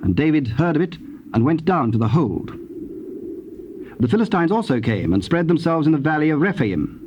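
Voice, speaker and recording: A man with a British accent narrating scripture in a formal, measured way. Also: a little high, big pitch swings. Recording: dull, faintly noisy old cassette.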